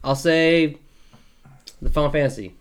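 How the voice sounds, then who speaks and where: A man's voice: a little high, measured pace. Slangy man chatting over faint noise. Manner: slangy, profane, anecdotal. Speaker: podcast co-host. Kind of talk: casual group chat.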